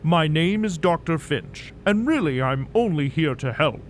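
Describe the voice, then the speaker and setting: A man's voice: fairly high pitch, expressive, measured pace, slight background noise. A man playing a genteel, sinister doctor with a patronizing, unctuous manner in an audio story. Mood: smooth, patronizing. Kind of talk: scripted audio fiction villain acting.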